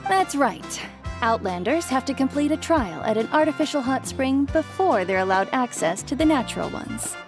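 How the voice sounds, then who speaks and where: Bossy, caring auntie figure, wry and scolding, fairly high voice, game dialogue with music underneath. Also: female voice, expressive, moderate pace. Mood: stern, warning. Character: strict, bossy but caring auntly neighbour woman. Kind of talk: video game voice acting.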